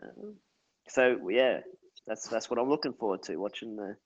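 A man's voice: medium pitch, expressive, moderate pace, a little hiss or hum. A man co-hosting a podcast, talking keenly and repeating phrases as he makes his point. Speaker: podcast co-host. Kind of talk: casual podcast chat. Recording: dull lo-fi sound.

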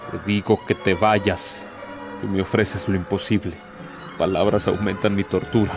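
An actor playing a proud, tormented, bitter devil in a radio drama, speaking in a solemn, theatrical, declamatory way. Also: male voice, deep voice, level tone, moderate pace, over music.

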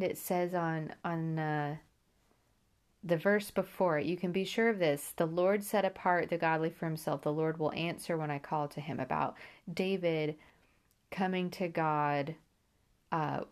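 A woman's voice: sits low, fairly even intonation, measured pace. A woman with a stuffy nose from allergies, hosting a Bible podcast, reading scripture aloud and then reflecting on it earnestly, with frequent restarts.

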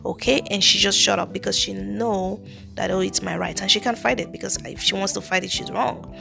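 Nigerian woman with a gravelly voice. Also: medium pitch, steady tone, brisk, music in the background. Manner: chatty, earnest, frequent ums and restarts. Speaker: podcast host, immigration consultant. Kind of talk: casual solo podcast talk.

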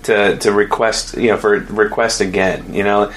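A man hosting a loose comedy podcast from a living room. He jokes in a dry, deadpan way and stumbles through fillers and restarts. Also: lowish voice, moderate pace. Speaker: podcast host. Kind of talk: casual comedic interview.

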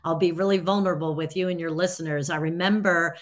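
A woman's voice: lowish voice, fairly even intonation, quick pace. A woman from the United States, an interview guest, telling personal stories expressively and acting out quoted dialogue. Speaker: interview guest, university teacher. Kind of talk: personal storytelling in conversation.

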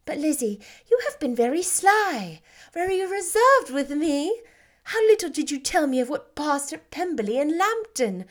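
A woman voicing a gentle, sweet-natured young woman who speaks softly and earnestly. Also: sits high, very animated, moderate pace, pitched above the performer's own voice. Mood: affectionate.

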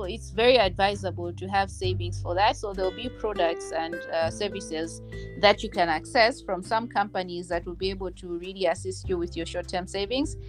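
Thoughtful woman in her thirties from Malawi. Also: mid-range voice. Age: 30s. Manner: measured, explanatory, with frequent fillers. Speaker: guest, finance executive. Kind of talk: podcast interview.

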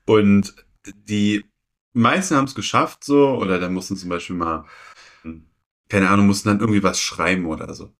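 A young man co-hosting a podcast, telling personal stories casually and haltingly, often repeating phrases. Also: lowish voice, a bit bright. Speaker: podcast co-host. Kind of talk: casual chat, personal storytelling.

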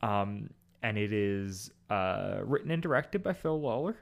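A man's voice: on the low side, expressive, a little slow, creaky. A young man co-hosting a casual podcast, chatty and joking. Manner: chatty, with frequent ums and jokes. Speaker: podcast co-host.

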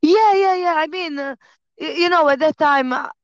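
A woman in her thirties from Croatia with a Croatian accent, a professional fighter and trainer chatting as a podcast guest, relaxed and quick to laugh, pausing often on "uh". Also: high-pitched, no echo, muffled recording. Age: 30s. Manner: relaxed, laughs easily, many "uh" pauses in English. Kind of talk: casual interview chat.

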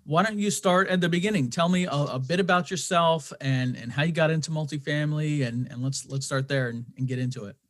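A man's voice: a little high, brisk, hardly any pauses, a bit bright. A man hosting a podcast, upbeat and enthusiastic, often restarting his phrases as he asks questions. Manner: upbeat, with frequent stammered restarts. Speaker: podcast host.

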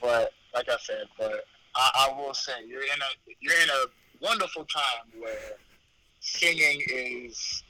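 Brief: man, bright sharp voice, teasing podcast co-host, faint hiss. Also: medium pitch, unhurried, low-quality recording. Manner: teasing, playful, slangy. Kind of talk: casual podcast banter.

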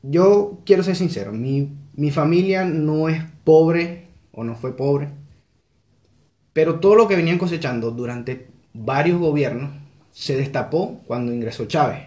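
A man's voice: on the high side, expressive, unhurried, a little mellow, roomy sound. A young man from Venezuela with a Venezuelan accent, answering interview questions in an earnest, reflective way. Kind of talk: conversational interview.

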